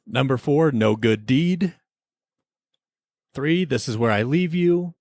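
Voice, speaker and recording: A man's voice: medium pitch, lively intonation, takes it slowly, darkish tone, no room sound. Male podcast host, dry studio sound. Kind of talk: scripted bits mixed with casual banter.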